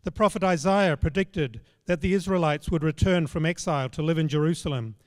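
Older male preacher, slightly high voice, measured and plain, close mic with long hall reverb. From Australia. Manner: measured, storytelling, plain spoken. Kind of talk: sermon. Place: large church stage. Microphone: handheld microphone.

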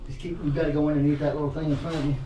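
A man, calmly guiding others through a small cave. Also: a little high, rapid-fire, recorded from a distance. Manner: calm guide, gives directions. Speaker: fellow cave explorer. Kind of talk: casual chat. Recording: echoey cave from off-mic with noise.

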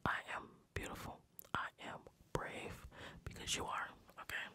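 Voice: moderate pace, bright, forceful tone. An ASMR creator whispering guided breathing and affirmations, repeating each phrase in a reassuring way.

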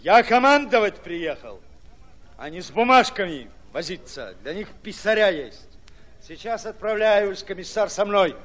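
An actor playing a brusque, fiery Red Army commander in a radio drama, curt and commanding. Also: male voice, sits high, lively intonation, unhurried, fairly bright tone. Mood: commanding, impatient. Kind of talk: Russian radio drama acting.

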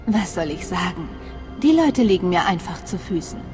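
A woman's voice: on the low side, very animated, normal speed. Cool female spy, music behind. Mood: smug. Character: cool, self-assured female alien imperial spy. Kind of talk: dramatic video game acting.

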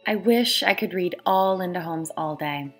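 Female author for a scripted book read-aloud; slightly low voice, faint music underneath. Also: normal speed.

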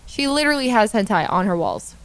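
A young woman live streamer chatting in an excitable, casual way. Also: mid-range voice, fast talker, noisy background. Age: young adult.

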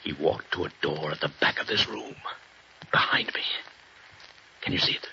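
An actor playing a tense man giving hushed urgent orders in a 1940s radio drama. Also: very deep voice, normal speed, gravelly, heavily acted. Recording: dull old radio recording with faint hiss.

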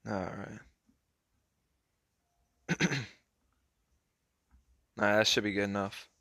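A young man casually commenting on a mobile card game as he plays, hoarse and clearing his throat, his voice trailing off in a laid-back mumble. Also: on the low side, very slow, pushed and bright, no room sound, studio quality.

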